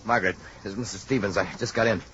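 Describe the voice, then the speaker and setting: An actor playing a brash, self-seeking, excitable American man with a pushy, punchy manner in a 1950s radio drama. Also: lowish voice, rapid-fire, natural voice. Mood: bossy. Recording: dull, noisy old radio recording.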